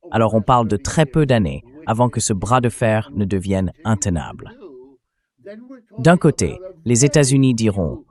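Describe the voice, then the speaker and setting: A synthetic male voice with a fairly low pitch delivers political commentary. It explains points in a conversational way, as a voice-over for a dubbed interview. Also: a little mellow.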